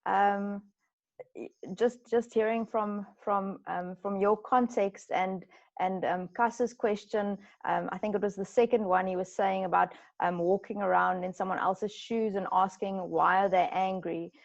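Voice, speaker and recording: Woman facilitator, hesitant, gravelly voice, lo-fi call sound. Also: mid-range voice, steady tone, a little slow. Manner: hesitant, many fillers. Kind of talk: moderating a webinar. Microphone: video call. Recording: muffled video call audio.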